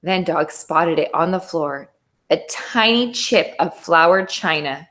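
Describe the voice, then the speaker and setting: Slow-paced woman reading a storybook. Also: fairly low pitch, lively intonation, a bit bright. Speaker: teacher reading aloud to third graders. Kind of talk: expressive children's storybook narration.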